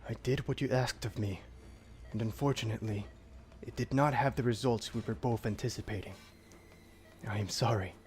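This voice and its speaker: A man playing a solemn, dutiful demon servant in an audio drama, speaking in formal, measured, old-fashioned phrasing. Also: mid-range voice, darkish tone, close to an everyday voice. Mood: grave, regretful. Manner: formal, measured, archaic phrasing without contractions.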